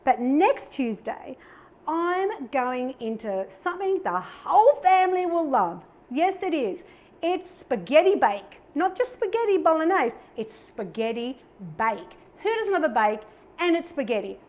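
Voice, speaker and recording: A woman's voice: high voice, sing-song, unhurried. A middle-aged Australian woman hosting a cooking show, chatting in a bubbly, upbeat way while she demonstrates a recipe.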